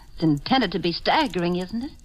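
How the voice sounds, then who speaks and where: A woman's voice: on the low side, animated, moderate pace, husky. An actress playing an imperious, hot-tempered young woman in a 1940s radio drama. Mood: haughty, tense. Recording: noisy vintage radio recording with clicks.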